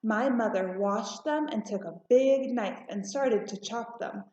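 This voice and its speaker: A woman narrating a children's storybook aloud in a deliberate, expressive way. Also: fairly high pitch. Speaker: storybook reader. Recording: roomy, slightly muffled home recording.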